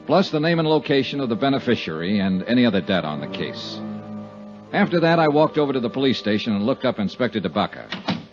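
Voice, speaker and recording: An actor playing a steady, hard-boiled insurance investigator, clipped and matter-of-fact, in a 1950s radio drama. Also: male voice, mid-range voice, lightly acted. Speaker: lead and narrator. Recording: dull 1950s radio recording.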